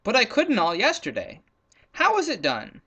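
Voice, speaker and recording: A man voicing an excitable, indignant leopard in a children's storybook read-aloud. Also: high voice, normal speed, pitched above the performer's own voice, exaggerated voice. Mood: puzzled. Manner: indignant, exclamatory. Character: excitable, sulky, bantering male leopard.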